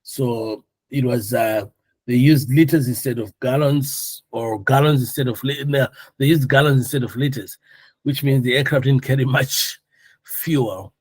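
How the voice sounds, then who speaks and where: A man's voice: medium pitch, a little slow, darkish tone, no room sound. A man instructing a class in an informal, conversational and jokey way, asking questions and explaining step by step. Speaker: air traffic control course instructor.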